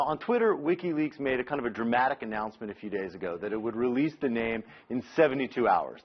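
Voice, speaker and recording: An American man giving a live news report as a correspondent, explaining in a measured way and stressing key words. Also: medium pitch, lo-fi sound.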